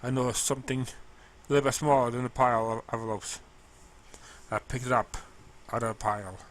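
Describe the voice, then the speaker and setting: A man narrating amateur fan fiction in a halting read, now and then stumbling over words. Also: mid-range voice, steady tone, fairly bright tone. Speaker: story narrator.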